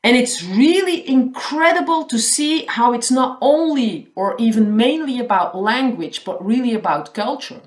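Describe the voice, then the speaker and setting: A woman's voice: a little high, animated, a little slow, few pauses. Flemish-accented woman in an echoey room. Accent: Flemish Dutch. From Belgium. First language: Dutch. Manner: thoughtful, repeats words while searching for phrasing. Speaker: guest. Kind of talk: conversational interview.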